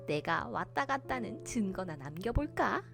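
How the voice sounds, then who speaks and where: A woman's voice: mid-range voice, big pitch swings, moderate pace, vocal fry, music in the background. A woman voicing a mischievous, cackling braggart from a children's folktale, giggly and taunting with exclamations. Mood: gleeful taunting.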